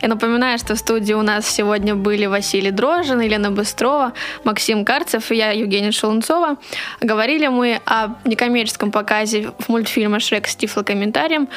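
A woman hosting a radio programme from a studio, delivering a scripted presenter's announcement. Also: a little high. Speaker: radio host.

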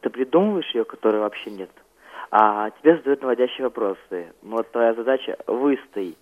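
A teenage male guest answering interview questions in a mid-pitched voice. He speaks hesitantly, searching for words as he recounts things. The audio is narrow and phone-like. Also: brisk. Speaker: student guest on a radio programme.

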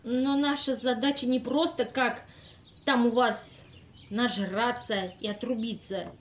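A woman's voice: a little high, fairly even intonation, measured pace, natural voice. A woman playing an impatient, businesslike experimenter in an amateur audio play, giving instructions with exasperation. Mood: brisk, impatient.

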